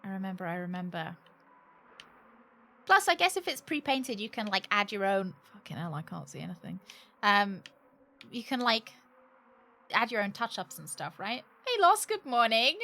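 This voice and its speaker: A woman's voice: mid-range voice, big pitch swings, moderate pace, pauses often, pushed and bright. A British woman livestreaming a game, chatting casually and jokily with sarcastic asides and some swearing. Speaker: game streamer. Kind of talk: casual livestream chat while playing a game.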